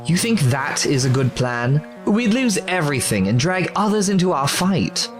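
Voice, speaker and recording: A man's voice: fairly high pitch, very animated, normal speed, music in the background. A man playing an anxious but brave ancient Egyptian townsman in an audio drama, speaking earnestly and with feeling. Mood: earnest, defiant. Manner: earnest, emotional, urgent.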